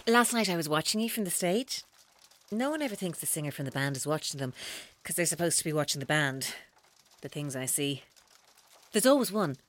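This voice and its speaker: A woman playing an obsessive, menacing young woman in a radio drama, speaking in a deliberate, coaxing, unsettlingly calm manner. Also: sits low. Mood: quietly intense. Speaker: actor in an audio drama. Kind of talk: naturalistic radio drama acting.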